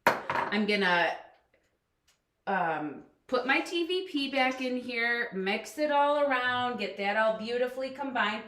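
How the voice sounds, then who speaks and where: A woman hosting a casual live cooking stream from her home kitchen, chatty and upbeat, with a loud teacher voice, picked up by a camera across the room. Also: on the high side, animated, slow. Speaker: cooking livestream host and coach. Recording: echoey kitchen with faint noise.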